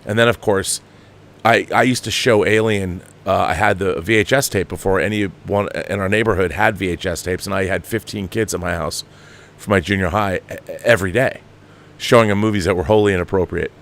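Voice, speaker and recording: An American man in his fifties giving impassioned, off-the-cuff film commentary as a show host, with an emphatic, halting, digressive delivery. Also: low voice, fairly even intonation, fairly bright tone. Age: 50s.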